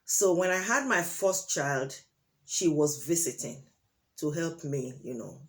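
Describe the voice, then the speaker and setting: A Nigerian woman whose first language is Yoruba, sharing her opinions in a monologue to camera. She talks deliberately, with many fillers and pauses, and turns emphatic on key points. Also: sits low, expressive. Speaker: vlogger.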